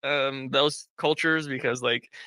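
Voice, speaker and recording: Bright-voiced joking male host. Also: medium pitch, steady tone, slow pace, no room sound. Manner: jokey, laughs often, says dude. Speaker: podcast host. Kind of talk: casual interview chat.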